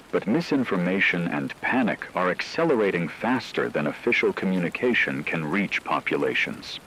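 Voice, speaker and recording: A man playing a grave wartime radio news anchor, reading a scripted emergency broadcast in a solemn, measured, formal way. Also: low voice, fairly bright tone, a little hiss or hum, rough recording.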